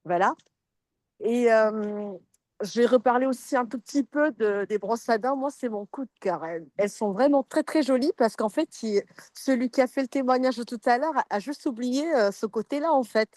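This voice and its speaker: A woman with a slightly high voice tells her customer experience, stopping and restarting as she searches for words. Her voice sounds dry and close over a video call. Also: normal speed, a little mellow, no echo. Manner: hesitant, many fillers and restarts, friendly. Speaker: customer giving a testimonial. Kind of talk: casual testimonial on a video call.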